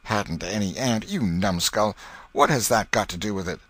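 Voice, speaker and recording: A man voicing a scolding but soft-hearted old aunt in a storybook, folksy and exasperated, with a rustic American accent. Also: on the low side, expressive, moderate pace, slightly rough, no room sound.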